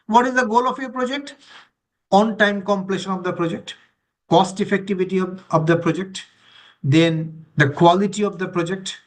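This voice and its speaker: High-voiced male trainer explaining emphatically. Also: normal speed. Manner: emphatic, repetitive listing, checks understanding with questions. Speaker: course trainer leading an orientation session. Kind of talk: explanatory teaching to online participants.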